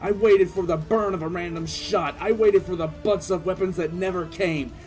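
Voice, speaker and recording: A man's voice: high voice, fairly even intonation, darkish tone, lightly acted. Man playing an intense war-hardened commissar, music behind. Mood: intense, fierce. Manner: intense, theatrical, drawn-out emphatic delivery. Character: battle-hardened male military commissar recounting a bloody ambush with relish. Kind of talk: dramatic first-person audio drama narration.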